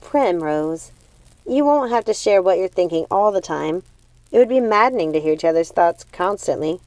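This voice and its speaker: A woman voicing a calm young witch sister in a storybook, a gentle peacemaker who reasons and reassures. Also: on the low side, normal speed, dry recording, natural voice. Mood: reasoning.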